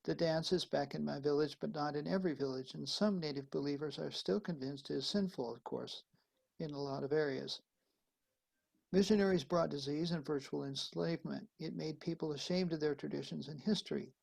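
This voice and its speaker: A man's voice: a little high, fairly quick, vocal fry, a little mellow, breathy edge, poor audio. A man, a priest, reading a letter aloud and hosting guests in a sermon conversation on a video call. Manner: reads a letter aloud, then hosts and thanks guests. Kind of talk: live-streamed sermon conversation.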